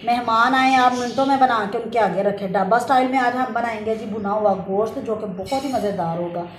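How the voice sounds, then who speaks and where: A woman's voice: fairly high pitch, a little fast, reverberant, a little hiss or hum. A woman hosting a home cooking channel, chatting politely through a recipe step by step and peppering her talk with blessings, recorded on a phone in her kitchen. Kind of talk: casual step-by-step recipe narration.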